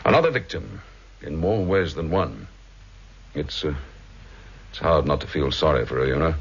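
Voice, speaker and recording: An actor playing a humane, hard-hitting Scotland Yard detective, brisk and thoughtful, in 1960s radio drama style. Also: male voice, extremely low pitch, lively intonation, vocal fry, slightly rough, exaggerated voice. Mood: pensive. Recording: muffled vintage radio recording.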